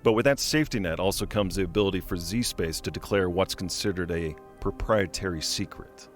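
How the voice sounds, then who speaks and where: A man playing a guarded, defiant company executive in an audio drama, speaking in a measured, formal, evasive way. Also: deep voice, soft background music, close to an everyday voice.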